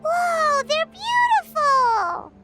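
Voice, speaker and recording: Very high, excitable little fairy companion. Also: female voice, extremely slow, loud music behind the voice, exaggerated voice. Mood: amazed. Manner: excitable, refers to self in third person. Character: small childlike floating fairy companion, excitable and cheeky. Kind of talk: animated video game voice acting.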